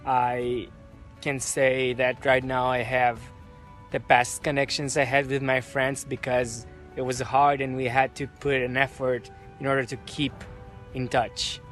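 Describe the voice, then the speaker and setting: A young Israeli man speaks slowly and hesitantly, with a flat, even delivery. Faint music plays behind him. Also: mid-range voice. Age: 20s. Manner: hesitant, thoughtful.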